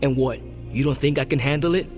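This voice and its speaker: An actor playing a hot-headed, defensive young superhero in a video game cutscene. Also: male voice, medium pitch, quick pace, darkish tone, soft background music, close to an everyday voice. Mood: defiant.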